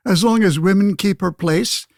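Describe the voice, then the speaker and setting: Man playing a pompous old-time senator, higher-pitched. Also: moderate pace, gravelly, dry recording, studio quality. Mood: condescending. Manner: pompous, speechifying. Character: pompous old-fashioned 1911 senator, windbag against women's suffrage. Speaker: debate guest. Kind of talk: pseudo-radio play, oratorical debate.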